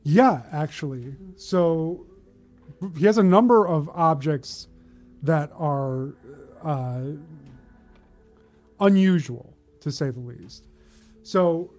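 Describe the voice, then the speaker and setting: A man working as game master, narrating a tabletop game in a measured, descriptive way with halts mid-phrase. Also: fairly high pitch, expressive, a little mellow, faint music behind.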